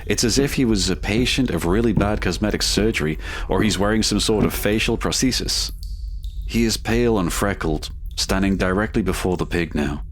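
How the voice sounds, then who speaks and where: A man's voice: lowish voice, moderate pace, slight background noise. A man narrating a gothic horror audio drama in scripted present tense, setting each scene.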